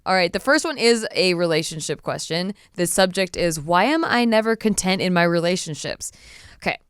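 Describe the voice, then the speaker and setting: A woman hosts a casual podcast, her voice smooth underneath but slightly raspy from a recent bronchitis. She talks chattily and laughs easily. The sound is dry and close, like a studio mic. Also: on the low side, lively intonation, fairly quick, no echo. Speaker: podcast host.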